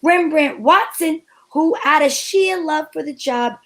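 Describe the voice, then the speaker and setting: Woman playing a high-voiced, wry lady detective. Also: unhurried, a bit bright. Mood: playful, fond. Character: breezy female private detective narrating her case. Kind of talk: old-time radio detective drama narration.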